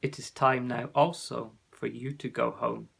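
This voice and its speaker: A man's voice: mid-range voice, fairly even intonation, a little slow, vocal fry, fairly bright tone, breathy edge, pitched below the performer's own voice, exaggerated voice. A man voicing a wise, mysterious warrior guide in a storybook, solemn and commanding.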